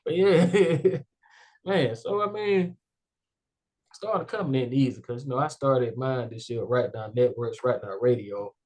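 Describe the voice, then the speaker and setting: A man's voice: medium pitch, unhurried, darkish tone. A young adult man hosting a casual interview show, talking loosely and upbeat with many fillers. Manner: rambling, heavy "like" and "you know" fillers, upbeat. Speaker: podcast host.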